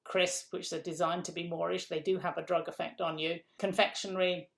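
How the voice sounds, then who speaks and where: A woman's voice: fairly low pitch, flat delivery, fairly quick, vocal fry. A middle-aged woman from England, a food policy guest in an interview, speaking emphatically, repeating key phrases and asking rhetorical questions. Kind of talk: conversational interview answer.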